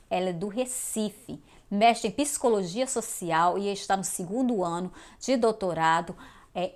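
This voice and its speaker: Brief: woman, show host, mid-pitched, reads a polished intro, then gives short nods of agreement. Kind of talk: interview hosting.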